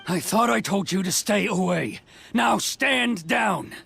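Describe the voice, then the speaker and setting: A stern military officer. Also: male voice, sits high, slow pace, vocal fry, a bit bright, soft background music, heavily acted. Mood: commanding, angry. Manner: formal, commanding, restrained. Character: stern, duty-bound military officer with a hidden soft heart. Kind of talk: video game voice acting.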